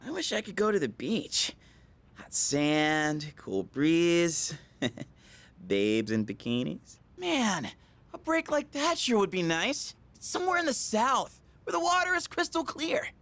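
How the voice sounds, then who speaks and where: A man's voice: high voice, big pitch swings, slow, fairly bright tone, exaggerated voice. An actor playing a goofy, girl-crazy teenage boy in a video game, slangy and exaggerated. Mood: dreamy. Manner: slangy, exaggerated, swinging between dreamy and whiny.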